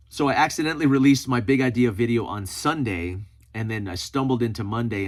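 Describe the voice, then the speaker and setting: A man hosting a casual solo vlog, talking informally with self-deprecating humor as he reads and answers comments. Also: fairly low pitch. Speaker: host of a preparedness video channel.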